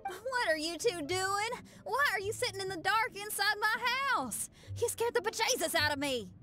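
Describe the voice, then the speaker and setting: Spirited young female musician for a game: high, animated, frank. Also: moderate pace, over music. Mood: startled. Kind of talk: video game voice acting.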